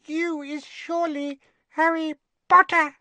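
A man doing Winky, a squeaky timid elf. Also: little pitch movement, slow pace, bright, forceful tone, dry recording, exaggerated voice. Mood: awestruck. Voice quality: teeny quivering squeak. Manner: broken grammar, deferential, constantly says sir. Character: tiny timid female house elf servant.

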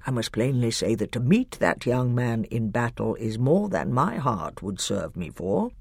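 A man reading aloud voices a cautious, sulky lord who counsels his king in a low, deferential voice. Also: expressive, measured pace, creaky, a little mellow, slightly rough, no room sound, heavily acted. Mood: sly, deferential.

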